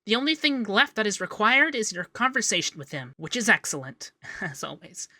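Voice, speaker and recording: A man playing a young, fussy, devoted femboy secretary in a scripted ASMR roleplay, chatty and expressive. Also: high-pitched, normal speed, dry recording, full character voice. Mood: businesslike, upbeat. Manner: chatty, expressive, bashful asides. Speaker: voice actor in roleplay audio.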